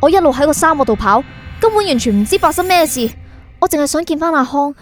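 A woman's voice: high voice, lively intonation, fast. A woman playing a confused, defiant young fugitive in a radio drama, pleading and insistent, with a Hong Kong Cantonese accent. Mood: anxious, bewildered. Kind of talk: radio drama acting and first-person narration. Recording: music bed behind the voice.

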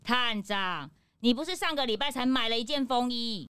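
A woman's voice: fairly high pitch, animated, normal speed, fairly bright tone, clean tone, dry recording, soft background music. A woman playing an eager, cheeky, childlike detective's assistant in a children's audio drama, exclamatory and prone to whining. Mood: eager, teasing. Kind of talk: children's radio drama acting.

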